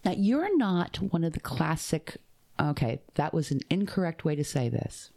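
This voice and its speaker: A woman hosting a casual interview, playful and quick to laugh. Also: deep voice, big pitch swings, mellow. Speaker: interviewer host.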